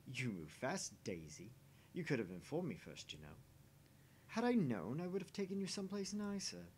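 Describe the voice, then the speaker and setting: A man's voice: sing-song, moderate pace, darkish tone, lightly acted. A man voicing a smug, jaded husband in a visual novel, mocking and condescending as he teases. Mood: teasing. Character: smug, sneering, jaded married man teasing his dinner date. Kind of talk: voice-acting visual novel dialogue. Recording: faint background rumble and noise.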